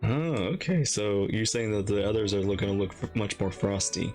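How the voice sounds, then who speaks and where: Female reaction streamer, casual and playful, deep for a woman, lively intonation, soft game music underneath. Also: moderate pace.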